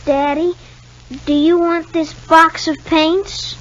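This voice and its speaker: A woman's voice: very high voice, little pitch movement, slow pace. A woman playing a shy, polite young boy with hesitant answers in a 1950s radio soap. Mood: downcast. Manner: reticent, short hesitant answers. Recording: dull 1950s radio recording.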